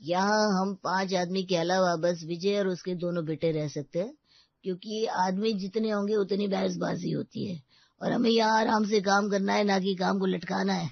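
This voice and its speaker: A man's voice: sits high, fairly even intonation, normal speed, creaky, no echo, muffled recording. A man playing a genial, businesslike village council elder who talks in a familiar, direct way in a family story. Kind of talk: Hindi family story acting.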